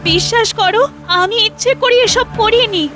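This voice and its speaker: Meek, tearful young bride. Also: female voice, extremely high pitch, normal speed, pushed and bright. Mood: pleading. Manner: tearful, pleading, humble. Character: young orphan daughter-in-law, meek and sorrowful. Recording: cartoon dub over music.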